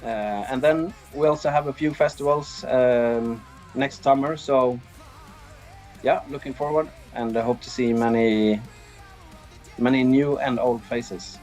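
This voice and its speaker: A man's voice: mid-range voice, steady tone, a little slow, darkish tone, soft background music. A middle-aged man with a Swedish accent, giving hesitant, easygoing answers in a casual interview. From Sweden. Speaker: interviewed band drummer.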